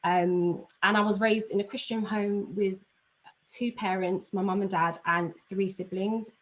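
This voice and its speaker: A woman's voice: medium pitch, steady tone, a little slow. A woman with a London accent giving an informal presentation on a video call, chatty and slightly flustered. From London, England. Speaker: aviation analyst giving a talk.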